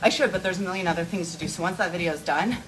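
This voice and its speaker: A woman's voice: fairly low pitch, very fast, noisy background. A woman hosting a casual livestream, answering viewer questions in a rambling, informal, explanatory way while walking on an inclined treadmill. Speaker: beauty and wellness livestream host.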